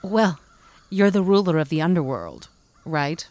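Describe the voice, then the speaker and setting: Wry elderly female sleuth, played by a woman, slightly low, knowing and unflappable, for audio comedy. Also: very animated, unhurried, a little mellow. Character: shrewd, unflappable senior woman, fugitive retirement-home sleuth. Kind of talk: audio comedy drama acting.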